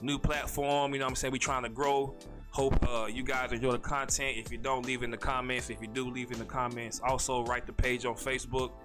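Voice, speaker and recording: Fast-talking man with a stutter. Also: mid-range voice, flat delivery, over music. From North Carolina, USA. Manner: rambling, slangy, fast talker with a stutter. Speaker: podcast host. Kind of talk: casual solo podcast monologue.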